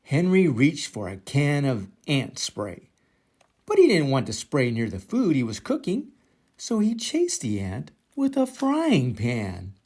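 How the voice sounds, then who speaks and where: Older man, children's storybook read-aloud, slow pace, animated pitch, dramatic emphasis. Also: husky. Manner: dramatic, deliberate, drawn-out emphasis. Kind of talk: expressive children's picture-book read-aloud.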